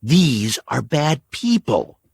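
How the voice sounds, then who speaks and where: A man voicing a tough, cold woman survivor who speaks in clipped, sharp commands. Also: mid-range voice, sing-song, takes it slowly, vocal fry, slightly rough, dry recording, studio quality, voice pushed up, heavily acted. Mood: hard, blunt.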